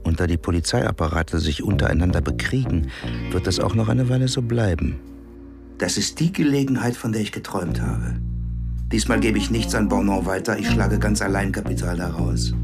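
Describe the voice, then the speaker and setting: An actor playing a ruthless, scheming pimp boss in a radio drama, speaking in cold commands. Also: male voice, lowish voice, moderate pace, creaky, music in the background.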